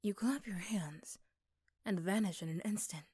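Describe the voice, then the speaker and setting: A woman narrates a scripted roleplay in the second person. Her voice sits at a medium pitch with a dark, relaxed tone, suited to an ASMR story. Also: moderate pace, breathy edge.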